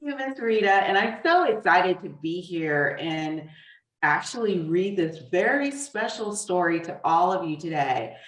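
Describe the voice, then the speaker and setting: A woman with a slightly low voice reads a picture book to children. She speaks deliberately and expressively, leaving pauses between phrases. The sound is roomy and echoey, picked up at some distance from the mic. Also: lively intonation. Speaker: guest author reading her book. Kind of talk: children's storybook reading. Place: echoey room, away from the mic.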